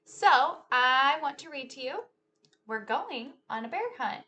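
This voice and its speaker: A woman's voice: sits high, lively intonation. A woman reading a children's story aloud like a teacher, theatrically, drawing out key words in a sing-along chant. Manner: theatrical, drawn-out key words, shifting between hushed whispers and loud exclamations. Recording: noticeable background noise.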